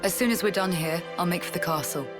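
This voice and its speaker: Loud music plays behind an actress as a resolute female commander. She speaks in measured, formal phrases with steady self-assurance, as in a dramatic game cutscene. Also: fairly low pitch, natural voice. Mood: firm, calm. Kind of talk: video game cutscene acting. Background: game soundtrack music.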